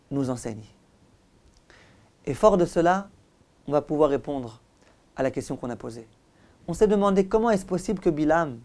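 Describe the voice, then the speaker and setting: A man's voice: sits high, darkish tone. A man speaking as a rabbi giving a religious lecture, didactic and emphatic, with rhetorical questions and repeated phrases. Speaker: rabbi giving a Torah lesson.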